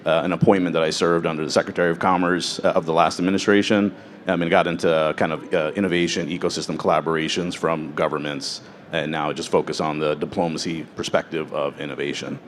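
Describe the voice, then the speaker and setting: A man's voice: very deep voice, flat delivery, quick pace. A man, formerly a medical device founder, introducing himself on a conference panel with many fillers. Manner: filler-heavy, lots of "uh" and "kind of".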